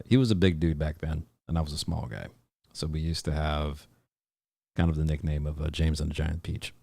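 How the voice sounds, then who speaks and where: Very deep gravelly man, dry close sound. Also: a little mellow, dry recording. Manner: rambling, hesitant, many fillers and restarts. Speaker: podcast host. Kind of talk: casual livestream chat.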